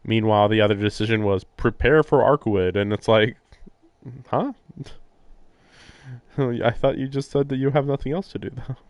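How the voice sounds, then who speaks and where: A man's voice: mid-range voice, darkish tone, clear, resonant tone, no echo. A man livestreaming a visual novel, casually reading narration aloud and adding sardonic, incredulous asides. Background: game music.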